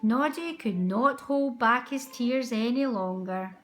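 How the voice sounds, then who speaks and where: A woman narrating a children's storybook aloud in an expressive, deliberate way. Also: a little high, soft background music.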